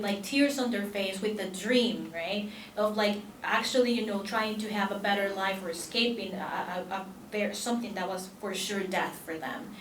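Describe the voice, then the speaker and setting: A Spanish-native woman from El Salvador speaks in earnest with a clear Salvadoran accent, her words broken by fillers and restarts. She is a conference panelist, heard from a distance in a roomy, echoing hall with a faint hum of room noise behind her. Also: mid-range voice.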